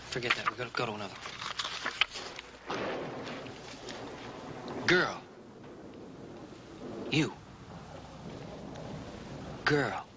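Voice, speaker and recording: An actor playing a patient man teaching sign language to a deaf child, coaxing her and repeating single words slowly, in a loud, noisy film soundtrack. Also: medium pitch, expressive.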